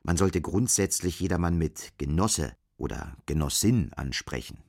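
An actor playing the sober narrator of a bleak dystopian tale in a scripted radio play. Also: male voice, rumbling low, lively intonation, normal speed, gravelly, darkish tone, husky, no room sound, studio quality, full character voice. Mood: sober, measured.

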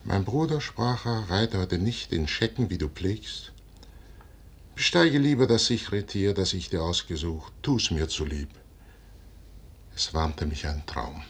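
An actor in a 1950s German radio drama playing a proud, stubborn, visionary general, husky and solemnly declamatory in verse. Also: male voice, low-pitched, expressive, a little slow, slight background noise.

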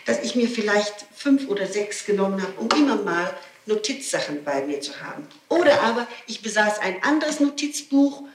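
A woman's voice: mid-range voice, lively intonation, moderate pace, roomy sound. A woman answering questions under interrogation, explaining insistently and often repeating herself, on an old 1960s tape. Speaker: suspect being questioned. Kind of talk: spontaneous testimony under interrogation.

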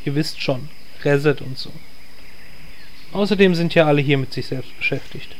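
An actor playing a polite, laid-back druid in a parody audio drama. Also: male voice, fairly high pitch, moderate pace, a little hiss or hum, natural voice.

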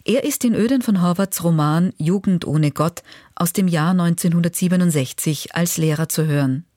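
A woman's voice: lowish voice, normal speed, dark tone, dry recording, studio quality. A woman presenting a radio magazine with a scripted, factual and precise delivery.

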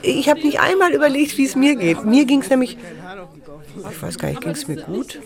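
A woman's voice: sits high, very animated, moderate pace. An actress playing a chatty, anxious young woman in a naturalistic radio drama, talking in a rambling, colloquial, self-deprecating way. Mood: animated confession. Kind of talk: naturalistic improvised-sounding radio drama dialogue. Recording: busy crowd chatter around voices. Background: crowd in a bar or café.

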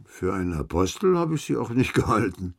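A man's voice: fairly low pitch, sing-song, brisk, gravelly, full character voice. An actor playing a probing, sardonic man who questions in a dry, needling way in a German radio play.

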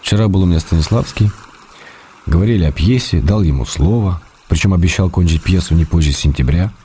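An actor in a radio drama reading the letters of a lonely man writer, measured, wryly tender and melancholy. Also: low-pitched, mellow, a little hiss or hum, close to an everyday voice. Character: lonely man writer, wry and tender, missing his love.

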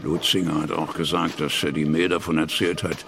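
An actor playing a police investigator in a radio play, reporting in a dry, official and factual manner. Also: male voice, a bass voice, little pitch movement, brisk, vocal fry. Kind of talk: German docudrama radio play testimony.

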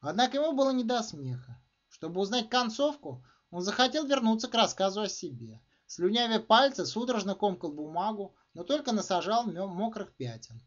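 A man reading literary fiction as its author, in expressive, dramatic narration with emphatic phrasing. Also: high-pitched, fairly quick. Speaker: author reading own short story. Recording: dull, muffled lo-fi sound.

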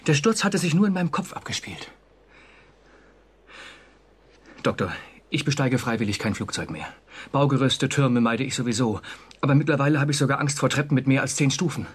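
An actor in a German radio drama playing an anxious, conscientious civil servant, confessional and agitated. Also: male voice, mid-range voice, expressive, quick pace, husky. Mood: distressed.

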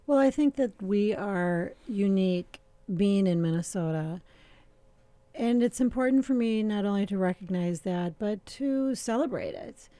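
A middle-aged woman with a mellow, warm voice chats as a guest on a radio show. She is relaxed and talkative, pausing often on fillers and laughing easily. Her voice sits close to the studio mic and sounds dry. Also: mid-range voice, no echo. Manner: relaxed and chatty, with many filler pauses and easy laughter. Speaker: winemaker guest. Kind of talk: casual radio interview.

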